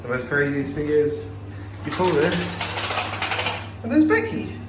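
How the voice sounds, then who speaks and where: A man's voice: on the high side, huge pitch swings, measured pace, background noise. A man talking casually and chattily as a travel vlogger. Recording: clear music behind the voice.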